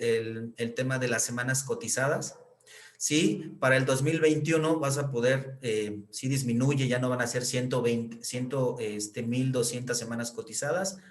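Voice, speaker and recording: A mid-pitched Mexican man works through pension figures like an accountant teaching a class. He fills gaps with "eh" and keeps checking that the listener follows. The room around him rings with echo. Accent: Mexican Spanish. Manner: explanatory, many fillers and tag questions. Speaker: accountant explaining pension reform. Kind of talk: explanatory lecture. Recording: echoey room.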